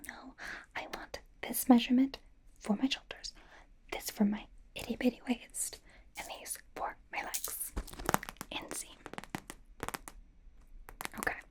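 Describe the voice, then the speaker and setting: A woman whispers softly and breathily in an ASMR personal-attention roleplay. She plays a bubbly young idol stylist who dotes on the listener, coaxing and reassuring with playful, chatty asides. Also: on the high side. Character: bubbly, doting young female pop idol playing stylist.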